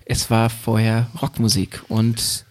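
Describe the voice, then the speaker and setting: Man, thirties, halting relaxed talk, lots of ums. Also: medium pitch. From Berlin, Germany. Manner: hesitant, many fillers and restarts, laughs easily. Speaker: guest DJ. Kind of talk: relaxed conversational podcast chat.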